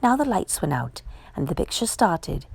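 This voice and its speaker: A woman reading a children's picture book aloud with measured, emphatic storyteller phrasing. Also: lowish voice, sing-song, vocal fry, darkish tone, slightly rough, dry recording. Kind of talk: expressive children's read-aloud narration.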